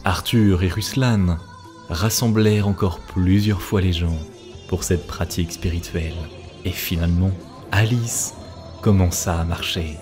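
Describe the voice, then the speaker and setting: A man reading scripted cartoon narration in a solemn, measured storytelling manner. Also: sits low. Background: clear background music.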